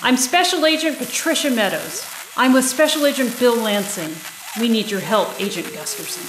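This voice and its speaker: A woman's voice: on the high side, lively intonation, moderate pace, close to an everyday voice. Woman acting government agent, businesslike, persuasive, audio drama, echoey, faint noise. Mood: urgent. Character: earnest female government special agent.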